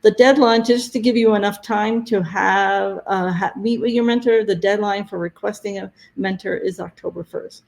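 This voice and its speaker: A woman presenting conference deadlines and tracks in a webinar, talking haltingly with many fillers, her voice going hoarse. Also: medium pitch, steady tone, a little mellow. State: losing her voice.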